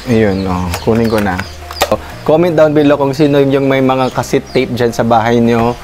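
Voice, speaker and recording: A man's voice: mid-range voice, normal speed, noisy background. A Filipino man in his thirties with a Filipino accent, presenting casually as a YouTube host and switching informally between two languages. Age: 30s. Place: home, room and open garden. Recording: music behind the voice. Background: loud music.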